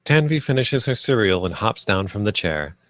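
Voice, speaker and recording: A man with a lowish voice narrates the stage directions of a screenplay. His delivery is plain and measured, and the sound is dry and close. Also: very animated, a bit bright, no room sound. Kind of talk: scripted narration at a screenplay table read.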